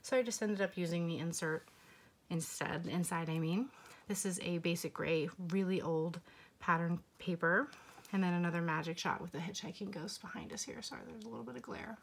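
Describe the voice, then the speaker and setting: Young woman, slightly hoarse, lower voice; relaxed chatty vlog narration. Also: brisk. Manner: relaxed, chatty, with fillers and occasional whispered asides. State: slightly hoarse, losing her voice. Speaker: scrapbooking vlogger. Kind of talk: casual walkthrough narration.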